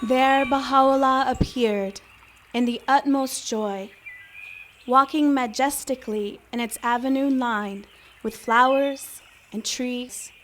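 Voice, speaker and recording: A woman giving solemn, measured dramatic narration from a script in a reverent manner. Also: sits high, fairly even intonation, a bit bright, a little hiss or hum.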